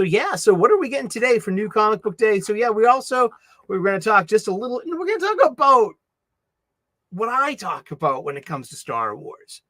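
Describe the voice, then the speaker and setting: A man's voice: high voice, sharp tone, dry recording, studio quality. A middle-aged man with a Boston accent hosting a casual livestream, rambling and mumbling with many restarts and fillers. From Boston, USA. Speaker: livestream host. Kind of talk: casual chat.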